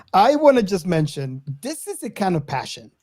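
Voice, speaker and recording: A man's voice: high-pitched, big pitch swings, measured pace, a little mellow, no room sound, studio quality. A man hosting a casual podcast chat, good-humoured, with hesitant phrasing. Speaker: podcast host.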